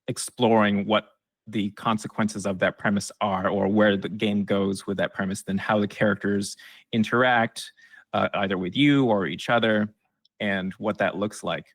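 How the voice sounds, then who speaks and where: Low-voiced man explaining in conversation. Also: a bit bright, dry recording. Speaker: guest expert on game trailers. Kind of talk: conversational advice in an interview.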